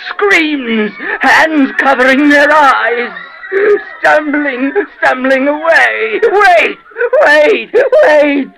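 An actor playing a tormented, horrified outcast in a Gothic radio monologue, halting and theatrical, repeating himself in anguish. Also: male voice, extremely high pitch, animated, gravelly, pushed and bright, heavily acted. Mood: anguished, frantic. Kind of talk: dramatic radio horror monologue. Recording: radio recording with long hall reverb.

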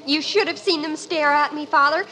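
A woman's voice: high-pitched, moderate pace, sharp tone, poor audio. A woman playing a melodramatic, self-absorbed teenage daughter in an old-time radio sitcom. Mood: huffy, teasing. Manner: melodramatic, youthful.

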